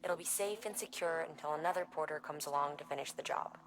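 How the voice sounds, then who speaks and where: Helpful game terminal operator, deep female voice, reassuring, explanatory, faint background noise. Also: fast. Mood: encouraging. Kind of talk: scripted video game briefing. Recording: faint noise and ambience behind.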